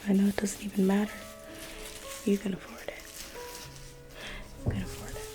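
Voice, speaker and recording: A woman in an ASMR roleplay, playing a private museum guide in a slow, coaxing whisper that repeats phrases. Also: big pitch swings, mellow, faint music behind. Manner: coaxing sales-pitch tone.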